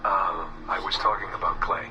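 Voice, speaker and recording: An actor playing a weary, haunted young man, recording a halting, reflective confession in a subdued murmur for a game. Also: low voice, steady tone, lo-fi sound, natural voice. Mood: apologetic, tired. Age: young adult. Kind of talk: recorded confessional audio diary, game voice acting. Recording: distant game audio with music behind. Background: music.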